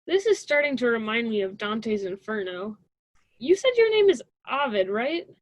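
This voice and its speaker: A woman's voice: fairly high pitch, very animated, moderate pace, dry recording, low-quality recording. A young woman playing a stubborn, sarcastic college student in a radio drama, with a dismissive conversational delivery. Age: young adult.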